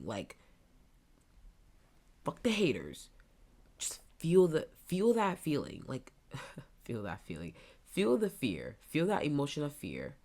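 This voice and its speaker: A young woman in her twenties with a stuffy nose, hosting a casual solo podcast and thinking out loud as she rambles. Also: a bass voice. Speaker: podcast host.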